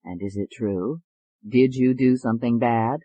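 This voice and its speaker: A narrator voicing a calm male psychiatrist with a slow, soothing manner in an audiobook. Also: mid-range voice, no room sound, voice pushed down, close to an everyday voice. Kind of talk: audiobook character voice.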